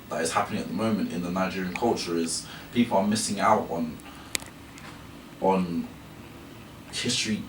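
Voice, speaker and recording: Deep-voiced young man presenting in an echoey room. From England. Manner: hesitant, restarting phrases often. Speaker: student presenter. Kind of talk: school presentation. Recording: echoey room, from a distance, noisy.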